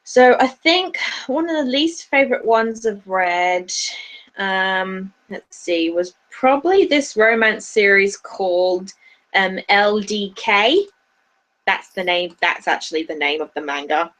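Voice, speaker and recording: A woman's voice: mid-range voice, expressive, slow, fairly bright tone. A woman with a British-tinged Australian accent, chatting casually on a livestream as co-host, rambling with many restarts. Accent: mixed British and Australian. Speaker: YouTube anime reviewer, livestream co-host.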